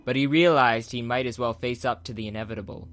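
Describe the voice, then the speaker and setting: A man's voice: medium pitch, fast, fairly bright tone, a little hiss or hum. A man reading scripted audiobook narration as the narrator of a dramatized story. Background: background music.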